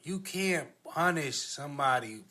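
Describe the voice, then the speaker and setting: A man's voice: on the high side, expressive, a little slow, a crowd in the background. An American man co-hosting a podcast, debating casually in an insistent, argumentative, slangy way. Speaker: podcast co-host.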